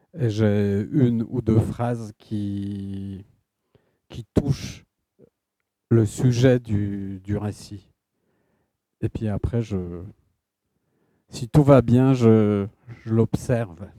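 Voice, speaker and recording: Slow, halting man with a Polish accent. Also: on the low side, warm tone, no echo. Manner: halting, searching for words, repeats himself. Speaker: guest author. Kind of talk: answering audience questions.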